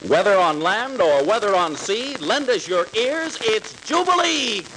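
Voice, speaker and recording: A male announcer opens a 1940s radio variety show in a very high, lively voice that swings widely. The old broadcast recording is clearly noisy. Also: unhurried.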